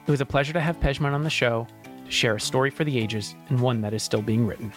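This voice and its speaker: A man's voice: medium pitch, steady tone, fast talker, music in the background. A man hosting a podcast, giving introductions and putting questions to a guest. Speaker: podcast host. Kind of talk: scripted podcast introduction and interview.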